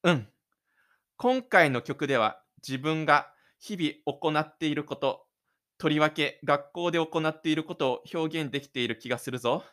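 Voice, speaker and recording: A man acts as a lonely high school boy talking to himself in a radio drama. The voice is smooth and slightly high, shy yet stubborn and inward-looking. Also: normal speed, a bit bright, natural voice. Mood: brooding. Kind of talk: inner monologue in an amateur radio drama.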